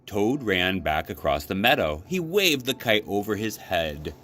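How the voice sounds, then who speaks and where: A man reading a children's storybook aloud as a librarian, with expressive, measured narration. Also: lowish voice. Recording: clearly noisy background.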